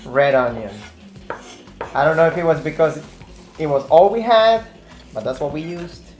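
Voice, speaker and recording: A man's voice: a little high, normal speed, darkish tone, echoey room. A man from El Salvador helping in a home kitchen, joking nervously and playfully as he works. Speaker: cooking helper.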